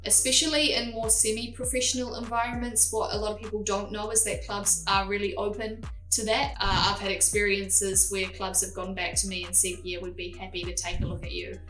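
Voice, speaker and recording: A woman's voice: medium pitch, flat delivery, brisk, few pauses, fairly bright tone, soft background music. A young woman with a New Zealand accent, hosting a vlog and giving direct, practical, step-by-step tips. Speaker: host. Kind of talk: casual advice vlog. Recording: roomy and a bit distant from the mic.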